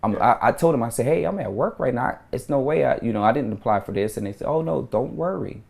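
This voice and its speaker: A man's voice: mid-range voice, lively intonation, fast talker, creaky, darkish tone, husky. A man with an American accent giving a casual personal account in an interview.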